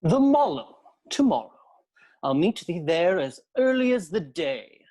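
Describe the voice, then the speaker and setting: A woman's voice: fairly low pitch, very animated, a little slow, dark tone. A woman playing an ardent, hot-tempered young nobleman in a period comedy, speaking in grand archaic phrases. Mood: resolute, brooding. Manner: grand, declamatory, archaic speech. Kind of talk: period stage comedy audio drama.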